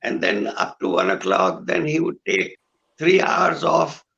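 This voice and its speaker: An older man with a hoarse voice, a guest musician talking in a slow, halting way with many pauses over a video call. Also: medium pitch, sing-song, sharp tone, highs cut off, a little hiss or hum. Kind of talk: recalled conversation with singing.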